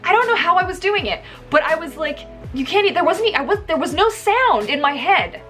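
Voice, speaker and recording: A woman in her thirties telling a personal story casually to camera in an animated, emphatic way, recorded in a room at home. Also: very high-pitched, fairly quick, faint music behind. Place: small indoor room at home.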